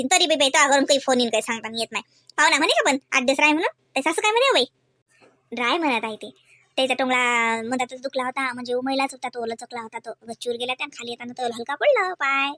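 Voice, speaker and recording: A woman's voice: sits high, bright, forceful tone, dry recording. A woman voicing homely village women in a comedy cartoon, gossiping in folksy Varhadi Marathi from Vidarbha. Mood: chatty.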